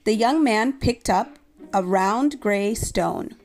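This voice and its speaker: A woman reading a children's story aloud in an expressive, measured storytelling way. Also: medium pitch, soft background music. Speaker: storybook reader.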